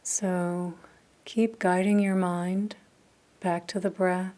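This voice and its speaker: A woman leading a guided meditation, speaking softly and calmly with long pauses between phrases. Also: fairly low pitch. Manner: slow, soft, calm with long pauses. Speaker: meditation teacher.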